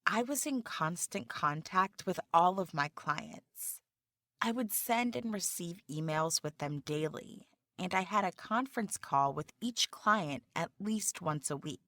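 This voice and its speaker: A woman playing a job candidate in a scripted practice interview for English learners, speaking slowly and carefully. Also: on the low side, expressive, fairly bright tone, no room sound, studio quality.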